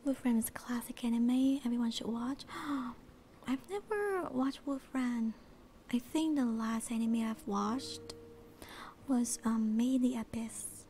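A young woman with a slight accent whispers close to the mic in a slow, airy ASMR murmur, drifting between thoughts as she chats. Her voice sits a bit high, and a steady hiss runs under the recording. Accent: slight non-American accent. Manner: relaxed, rambling, reads chat aloud. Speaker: VTuber streamer.

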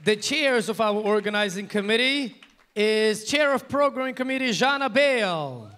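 A man co-hosting a gala, reading formal introductions in a slightly halting way on a banquet hall stage. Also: sits high, expressive, pushed and bright. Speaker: event co-host. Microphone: handheld microphone.

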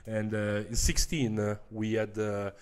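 A man in his thirties with an Italian accent, a native Italian speaker chatting casually in halting English as an interview guest. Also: on the low side, little pitch movement, clean tone. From Milan, Italy. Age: 30s. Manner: halting, searching for words in imperfect English. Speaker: podcast guest, American football player. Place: small furnished room indoors. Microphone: handheld microphone.

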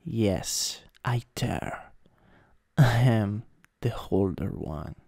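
Guy doing a teasing bossy older stepbrother asmr, italian accent, gravelly fry, super slow, breathy and whispery. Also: fairly low pitch, animated, darkish tone. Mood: teasing, domineering. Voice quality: breathy, often dropping to a whisper. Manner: slow, intimate, halting delivery. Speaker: ASMR roleplay performer. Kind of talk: improvised ASMR roleplay. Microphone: close USB microphone.

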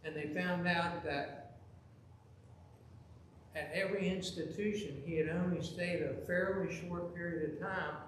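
Middle-aged male pastor, high-pitched and animated, preaching in a very reverberant sanctuary. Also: unhurried, long pauses, slight background noise. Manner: animated, gesturing, rising to loud emphasis. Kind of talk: sermon with storytelling. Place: large church sanctuary, at the pulpit. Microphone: pulpit microphone, filmed from far camera. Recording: very echoey church with faint ambience.

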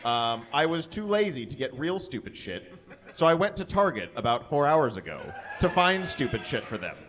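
A man in his thirties performing a comic reading live on a small stage into a stand microphone, in a halting way. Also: a little high, sing-song, a bit bright, muffled recording. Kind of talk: comic live reading of fan fiction to an audience. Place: small indoor stage with curtain and brick wall.

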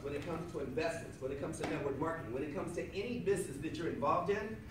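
Loud, emphatic man in echoey hall. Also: fairly high pitch. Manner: loud, emphatic, repeats key lines to the crowd. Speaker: seminar speaker. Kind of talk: motivational seminar talk. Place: hotel conference room with seated audience. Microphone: far camera at back of room, no microphone. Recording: echoey room from far off with audience noise.

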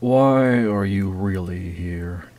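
A man's voice: low-pitched, takes it slowly, a little mellow, no room sound. Man acting a blunt, domineering factory lord. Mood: suspicious, smug. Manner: blunt, commanding. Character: gruff, suspicious rebel factory lord, domineering and sardonic. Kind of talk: comic dub voice acting. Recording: music behind the voice.

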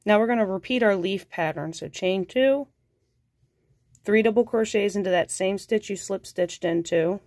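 Woman, stuffy and nasal from a cold, patient crochet teacher, mid pitch, dry close sound. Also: moderate pace, no room sound. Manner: calm, patient, counts stitches aloud. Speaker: crochet instructor. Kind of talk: step-by-step craft tutorial.

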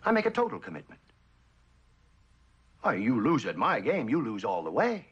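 A suave, wildly swooping cynical spy. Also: male voice, medium pitch, wildly swooping pitch, slightly rough. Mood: musing, cynical. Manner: theatrical, sly, musing with dramatic pauses and chuckles. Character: suave, cynical spy, a traitorous best friend who loves the game. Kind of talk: mid-century American TV drama acting. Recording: dull old television soundtrack.

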